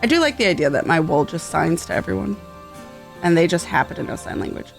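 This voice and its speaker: A woman's voice: fairly low pitch, animated, quick pace, gravelly, faint music behind. A woman streaming a video game, giving casual off-the-cuff commentary on what happens.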